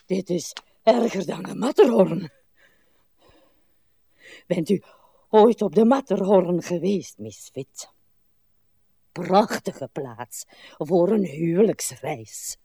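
Radio drama: weary old woman, sharp-tongued and theatrical, slow delivery, dry close sound. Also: on the low side, animated, slightly rough, no echo, exaggerated voice. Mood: grumbling. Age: older. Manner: theatrical, sardonic, exclamatory. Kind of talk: radio play acting.

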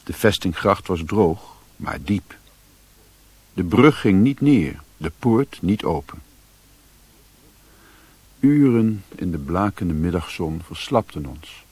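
A man playing the grave narrator of an adventure in a radio drama, with a husky voice. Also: sits low, animated, takes it slowly, long pauses, a little mellow, no room sound, heavily acted. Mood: grave, tense.

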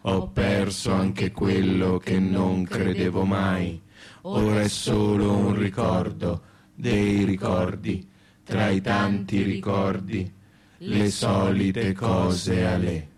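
An actor playing an aimless man in a radio play, reciting his circling memories in a repetitive monotone. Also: deep voice, slow. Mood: listless, monotone. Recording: loud crowd noise behind.

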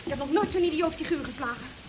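A woman's voice: high-pitched, fast talker, noisy background, close to an everyday voice. An actress playing a put-upon, indignant young lady in a radio play.

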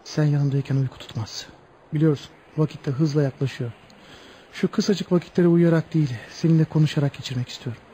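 Casting: male actor as earnest condemned young hero, brave and heartfelt, slightly high warm voice, radio theatre. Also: a little fast, a little hiss or hum, natural voice. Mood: tender, resolute. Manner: solemn, heartfelt.

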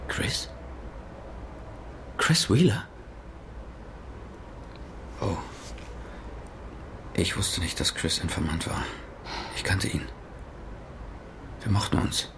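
A man's voice: deep voice, sing-song, drawn-out words, long pauses, fairly bright tone, a little hiss or hum, full character voice. Defensive evasive guy, stammers, voice jumping around, sounds a bit far off. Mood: stunned. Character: evasive male press writer under questioning. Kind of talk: radio play acting.

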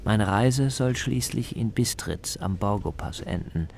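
A man narrating a scripted radio travel feature as the reporter, in a measured, descriptive way. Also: fairly low pitch, vocal fry, darkish tone, a little hiss or hum.

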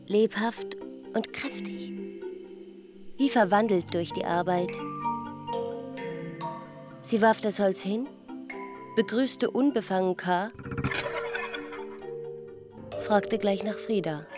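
A female narrator in a literary radio play. She speaks slowly at a medium pitch, in short phrases, with clear marimba music behind her.

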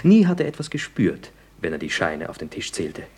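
Young male playwright, radio comedy, swooping intonation, slightly husky, ironic, mock-courteous. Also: low voice, wildly swooping pitch, normal speed, mellow, heavily acted. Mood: wry. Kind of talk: German radio comedy acting.

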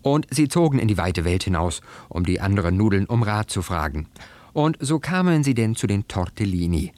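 A man reading a children's story aloud in an expressive, scripted storytelling style. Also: fairly low pitch, moderate pace, no echo. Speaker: story reader.

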